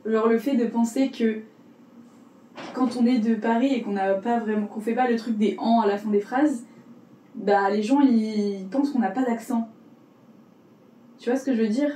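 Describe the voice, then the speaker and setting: A woman's voice: fairly high pitch, steady tone, normal speed, long pauses, warm tone, reverberant, distant mic, slight background noise, rough recording. A woman podcast co-host chatting casually, talking in long rambling asides and laughing often. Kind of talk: casual chat between friends.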